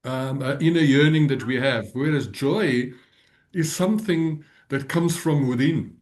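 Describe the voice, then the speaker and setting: Gentle man, native Afrikaans speaker. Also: medium pitch, a little slow. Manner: gentle, earnest, laughs often. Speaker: guest. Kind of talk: reflective interview talk.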